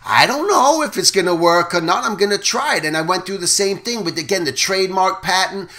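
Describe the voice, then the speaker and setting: A man hosting an art talk show, encouraging and animated as he chats with a guest. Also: high-pitched, fairly quick. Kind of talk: casual interview chat.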